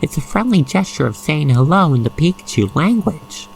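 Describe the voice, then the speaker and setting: An expressive narrator guiding the listener through an ASMR roleplay story in a gushing, sentimental manner. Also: mid-range voice, moderate pace, creaky, a little hiss or hum, low-quality recording.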